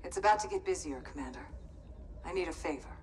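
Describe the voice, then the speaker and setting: An actress playing a poised, commanding rebel senator in a video game, measured and authoritative. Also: female voice, low voice, expressive, a little hiss or hum. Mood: businesslike. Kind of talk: cinematic video game acting.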